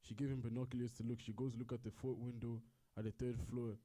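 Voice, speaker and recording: A man's voice: medium pitch, little pitch movement, fast talker, warm tone. A man casually retelling a story in a rambling, repetitive way and correcting himself as he goes. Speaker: co-host.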